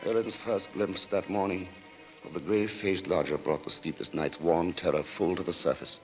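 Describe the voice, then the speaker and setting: A man with a fairly low voice narrates a radio suspense drama. His delivery is measured and ominous, broken by theatrical pauses, with faint music underneath. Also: fairly even intonation, poor audio.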